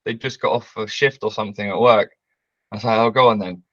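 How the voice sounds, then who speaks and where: A man, telling a story as a guest on a video call, loud and brash, laughing often. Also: lowish voice, fairly even intonation, quick pace, no echo, dull audio. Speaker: interview guest. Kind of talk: casual storytelling. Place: bright home room with large windows.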